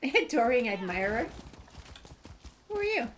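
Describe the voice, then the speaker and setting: A woman's voice: fairly high pitch, very animated, a little slow. A woman giving casual game walkthrough commentary, playfully thinking aloud and chatting to herself and the game. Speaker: gameplay video host. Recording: clear background noise, game sounds.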